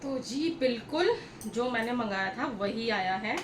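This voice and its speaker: High-voiced woman vlogging, echoey room. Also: animated, measured pace. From India. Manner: chatty, repetitive, addresses viewers directly. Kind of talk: casual vlog storytelling to viewers. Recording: echoey room with clear background noise.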